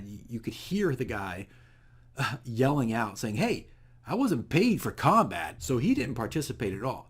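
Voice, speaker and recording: A man hosting a casual, unscripted video diary as a dungeon master, explaining things in a rambling, self-correcting way. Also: medium pitch. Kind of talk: casual unscripted game session recap.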